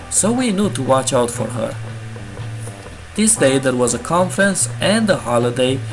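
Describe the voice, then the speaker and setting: A man's voice: on the high side, low-quality recording. A man hosting a channel and reading entitled-parent stories aloud in a casual, jokey way. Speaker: host. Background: guitar music.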